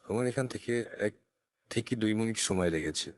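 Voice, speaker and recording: A man with a deep voice presents a software tutorial, explaining each step carefully and instructionally. His voice is captured close on a condenser microphone, with a very dry sound and little room in it. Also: normal speed, no room sound. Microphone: close USB condenser microphone.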